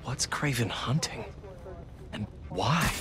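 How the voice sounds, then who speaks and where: A man's voice: medium pitch, expressive, slow pace, background noise. A man acting a quippy, friendly young superhero in a video game, with an American accent and a chatty manner. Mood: musing. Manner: chatty, wisecracking. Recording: loud game music behind the voice.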